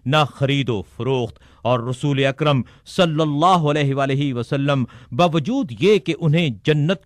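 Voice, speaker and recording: A man reading a sermon text aloud in a measured, formal recitation. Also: a little high, no room sound, studio quality. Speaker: reader of religious sermons.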